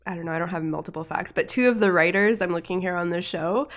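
A woman co-hosting a casual podcast, her voice a touch low and stuffy from a cold. She rambles and fills every pause with fillers. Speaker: podcast co-host. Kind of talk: casual cartoon review chat.

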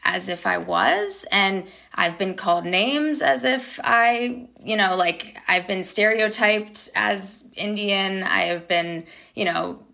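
A young woman, an actor being interviewed, talking casually and reflectively with many fillers and soft laughs. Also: mid-range voice, creaky. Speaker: actor guest.

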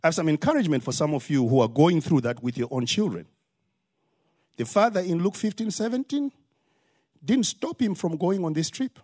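An older male pastor with a Zimbabwean accent preaches with emphatic force. His voice sits high for a man and climbs further when he drives a point home. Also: expressive, brisk, a little mellow, breathy edge. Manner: emphatic preaching with rising exclamations. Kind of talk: sermon.

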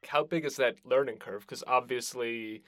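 A man's voice: medium pitch, normal speed, a bit bright, dry recording, clean studio sound. A man hosting an interview podcast, asking questions in a casual conversational style.

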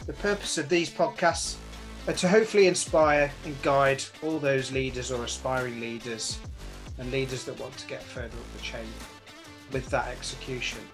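A man's voice: mid-range voice, steady tone, moderate pace, music in the background. A man speaking as a podcast host, introducing the show and his guest.

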